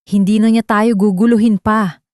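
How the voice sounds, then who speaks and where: A woman's voice: mid-range voice, steady tone, moderate pace, darkish tone, no echo, studio quality, lightly acted. A woman voicing a cruel, deceitful female conspirator with a smug, cold manner in an audio drama. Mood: smug relief.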